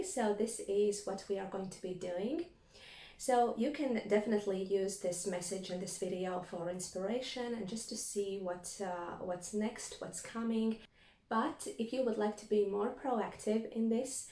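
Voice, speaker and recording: Woman doing a tarot reading for her viewers, thinks out loud, soft warm tone, roomy echo. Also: medium pitch. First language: Latvian. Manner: reflective, thinking aloud while reading cards. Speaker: tarot reader.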